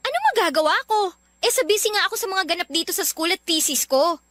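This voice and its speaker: Woman acting as annoyed female student, very high bright voice, curt, dismissive, radio romance. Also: quick pace, no room sound, clean studio sound. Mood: irritated. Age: young adult. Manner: exasperated, curt. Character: irritated, dismissive young female college student rejecting suitor. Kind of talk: Tagalog radio love drama acting.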